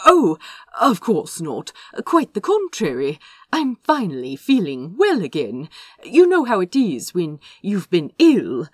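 Woman acting a fussy, chirpy young prince, very animated. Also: fairly low pitch, takes it slowly, breathy edge, no room sound, studio quality, heavily acted. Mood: flustered, chirpy. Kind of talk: fan fiction audiobook dialogue.

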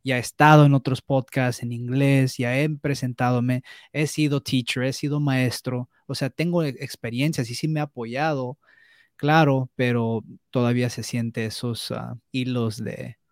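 A man's voice: medium pitch, fairly even intonation, normal speed, dry recording, clean studio sound. A young man, talking candidly on a podcast, hesitant, with restarts and slips into English words. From United States. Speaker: podcast co-host. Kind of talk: casual podcast conversation.

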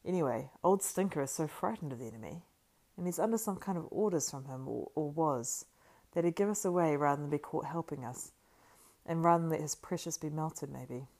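A woman reading the thoughts of a plain-spoken, doubtful male hobbit servant, muttered low and homely. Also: brisk, a little mellow. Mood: muttering.

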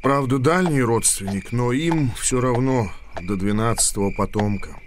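A man's voice: mid-range voice, moderate pace, a little hiss or hum, natural voice. An actor playing a small, frightened, humble fugitive townsman in a radio drama, timid and pleading.

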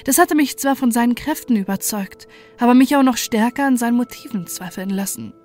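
A woman's voice: a little high, fairly quick, mellow, faint music behind. A woman reading a scripted first-person horror story as narrator, in a sober and controlled manner.

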